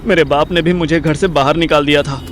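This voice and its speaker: A man's voice: on the high side, steady tone, fairly quick, very noisy, lightly acted. An actor playing a reluctant, sarcastic, foul-mouthed young man who grumbles and wisecracks in a Hindi audio drama. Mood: wry.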